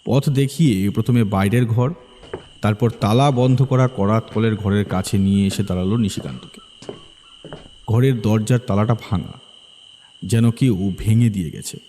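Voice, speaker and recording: Low, dark-voiced male horror storyteller. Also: normal speed, a little hiss or hum. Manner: suspenseful. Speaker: storyteller, narrator. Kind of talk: dramatic horror story narration. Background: faint background music.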